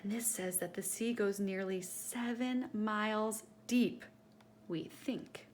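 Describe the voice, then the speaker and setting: A woman reading a picture book aloud to children in an expressive, teacherly way, speaking directly to her young listeners. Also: mid-range voice, takes it slowly, slight background noise.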